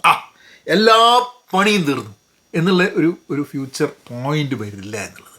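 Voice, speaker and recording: Animated, higher-voiced Malayali man. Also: moderate pace. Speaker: book reviewer. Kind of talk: casual book review talk, mixing in English phrases.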